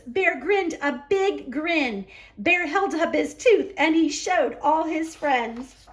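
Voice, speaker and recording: A woman reading a picture book aloud for a children's story hour, expressive, with drawn-out emphasis on key words. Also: high voice. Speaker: library story hour reader.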